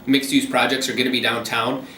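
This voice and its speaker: A man's voice: medium pitch, brisk, reverberant, distant mic, a little hiss or hum, rough recording. A man working as a commercial realtor, explaining local deals in a businesslike way during a phone interview. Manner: steady, businesslike explaining with frequent ums.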